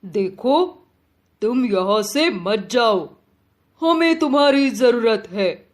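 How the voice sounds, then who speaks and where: A woman voicing a stern but fair lion king in a children's moral story, speaking firmly and deliberately. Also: a little high, expressive. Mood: kind, persuading. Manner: firm, admonishing, deliberate.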